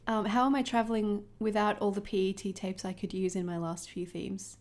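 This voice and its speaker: Australian woman, livestream host, fast, chatty, giggly, mid-pitched. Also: creaky, darkish tone. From northern New South Wales, Australia. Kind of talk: casual chat reading viewer comments while crafting.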